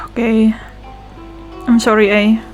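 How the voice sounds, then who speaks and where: A woman's voice: fairly high pitch, completely flat delivery, extremely slow, soft background music, low-quality recording. A young Indonesian woman with an Indonesian accent, giving casual reaction commentary on a game, thinking aloud and chatting as she goes. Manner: chatty, thinks aloud, switches between languages. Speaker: gaming reaction vlogger.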